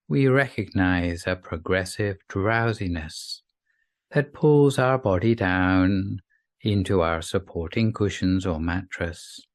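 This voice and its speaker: Slow, low-voiced man guiding sleep meditation. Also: no echo, clean studio sound. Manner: soothing, unhurried, gentle, with long pauses. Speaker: meditation guide. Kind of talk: scripted guided sleep meditation.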